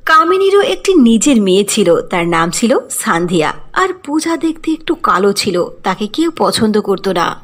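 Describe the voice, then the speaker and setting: High, lively woman storyteller. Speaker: narrator. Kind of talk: scripted fairy-tale narration.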